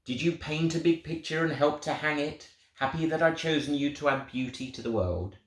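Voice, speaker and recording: A man voicing a tender, proud God who slowly questions little creatures in a children's storybook. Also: fairly high pitch, close to an everyday voice. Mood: tender questioning. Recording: roomy, echoing sound.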